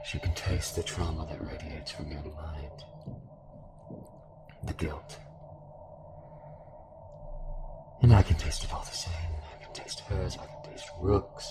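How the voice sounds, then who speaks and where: A man playing a calm, sinister cult fanatic fused with a devouring entity, taunting in measured monologue in an audio drama. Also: a bass voice. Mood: taunting, smug. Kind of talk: dramatic audio roleplay acting. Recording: music under the voice.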